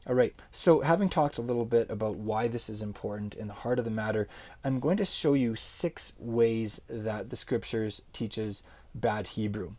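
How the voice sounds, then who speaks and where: Mellow-voiced man teaching informally. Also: medium pitch. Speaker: Hebrew language teacher. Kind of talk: informal explanatory lecture, reviewing a book.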